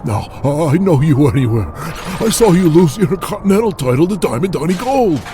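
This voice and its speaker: An actor playing a sleazy, whiny small-time crook who stammers and pleads in an audio drama. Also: male voice, a little high, expressive, normal speed, over music, close to an everyday voice. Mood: excited recognition.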